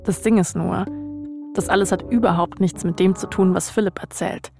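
Woman, podcast reporter, low pitch, gravelly fry, storytelling narration. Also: fairly even intonation, moderate pace, darkish tone, soft background music. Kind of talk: scripted storytelling narration mixed with casual interview questions.

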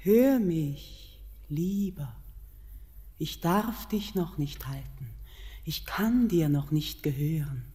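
Slow, dark-toned mountain queen, seductive and commanding, in a long reverb. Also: sits low, expressive. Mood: entreating. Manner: slow, solemn, sinking to hushed whispers. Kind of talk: classic German radio play acting.

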